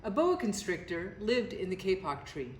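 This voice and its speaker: A woman reading a children's story aloud in an expressive, narrated style. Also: medium pitch, moderate pace. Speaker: storybook reader. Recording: echoey room with faint noise.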